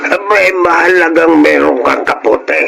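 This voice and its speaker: An actor playing a kindly, protective elderly grandfather in an audio drama, fussing and reassuring with soft hesitations. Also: fairly high pitch, a little slow, vocal fry. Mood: worried. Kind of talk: Filipino audio drama acting. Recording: noisy recording.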